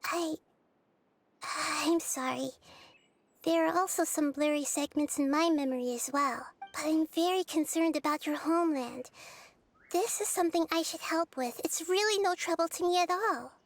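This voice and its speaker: A woman voicing a small, childlike girl deity in a video game, wise and kind, speaking in a thoughtful, gentle and measured way. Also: high voice. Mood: apologetic. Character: small girl deity, wise, kind and caring beyond her apparent years. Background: soft game music.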